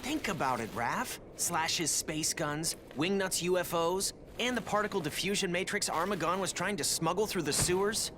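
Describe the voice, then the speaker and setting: An actor playing a brainy, earnest teenage mutant turtle inventor in a video game, explaining things in a nerdy technical way. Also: male voice, high voice, normal speed, pushed and bright, faint music behind.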